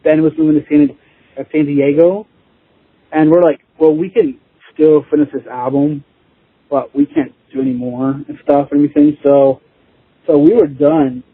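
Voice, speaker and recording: A man chatting casually as an interview guest, informal and rambling, with a husky voice. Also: a little high, steady tone, moderate pace, no echo. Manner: informal, rambling, chuckling easily. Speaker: interview guest, band musician. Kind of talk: casual chat. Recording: tinny phone-like line.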